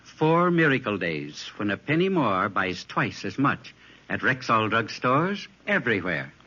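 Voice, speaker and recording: A man delivering an old-time radio commercial in an emphatic, salesmanlike style with a husky voice. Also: lowish voice, sing-song, measured pace, heavy background noise. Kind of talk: 1940s-50s radio advertising. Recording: muffled old radio recording.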